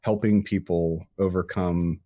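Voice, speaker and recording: A man's voice: deep voice, steady tone, a little slow, dark tone, dry recording. A man talking casually as an interview guest, rambling with frequent restarts and fillers. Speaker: guest, tutorial creator.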